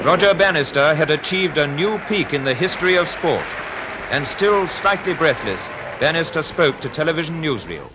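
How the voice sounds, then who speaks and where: A man's voice: fairly high pitch, lively intonation, moderate pace. A man with a British Received Pronunciation accent delivers formal, dramatic scripted newsreel commentary in a 1950s style. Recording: noisy 1950s archive newsreel soundtrack.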